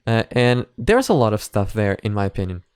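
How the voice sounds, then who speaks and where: Clear-voiced man giving casual, rambling commentary. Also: lowish voice, sing-song, brisk, darkish tone, no room sound, studio quality. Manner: rambling, emphatic, casually sweary. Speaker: reaction video host. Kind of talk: casual opinion commentary on anime.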